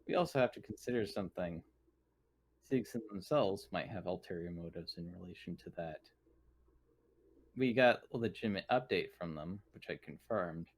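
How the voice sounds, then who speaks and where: A man speaking in character in a tabletop roleplaying game, explaining things in a formal, precise, clinical way. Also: fairly low pitch.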